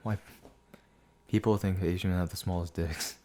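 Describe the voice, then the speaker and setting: A sleepy young man hosting a podcast, thinking before he speaks, with long pauses and restarted words. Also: low voice, fairly even intonation, mellow, slight background noise. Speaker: podcast host. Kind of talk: casual podcast chat.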